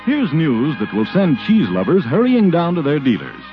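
A male 1940s commercial announcer with a highish, extremely animated, swooping pitch. He reads his sales copy on a dull recording with faint music. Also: normal speed. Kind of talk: 1940s radio advertisement read.

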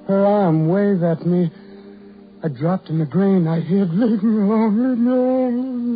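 An actor playing a lonely, self-loathing ugly farm labourer in a 1940s radio horror drama, with halting, repetitive, brooding delivery. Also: male voice, high-pitched. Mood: agitated, wounded. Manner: halting, repetitive, brooding, building to anguished outbursts. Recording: muffled, tinny 1940s radio recording.

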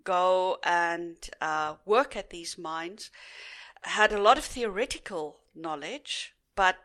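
A woman's voice: fairly low pitch, slow, a bit bright. A middle-aged South African woman, a native Afrikaans speaker, talking unhurriedly in an interview with frequent fillers. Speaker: guest. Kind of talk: casual interview.

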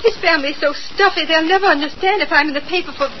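A very high, genteel young woman's voice, fretful and fragile. She speaks in hesitant, melodramatic 1940s radio style on a muffled, noisy old recording. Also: quick pace. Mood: pleading.

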